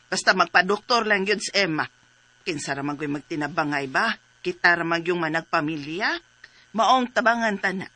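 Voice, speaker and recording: A woman's voice: on the low side, moderate pace, pushed and bright. A woman acting a concerned, exasperated mother in a radio drama, insistent and full of exclamations. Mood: fretful, practical. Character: concerned, exasperated mother urging treatment for family.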